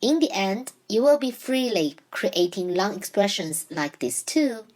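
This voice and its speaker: A woman whose first language is Chinese, teaching Mandarin in a scripted lesson, speaking in a measured, clearly enunciated way and slowing down for example sentences. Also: medium pitch, very animated, darkish tone.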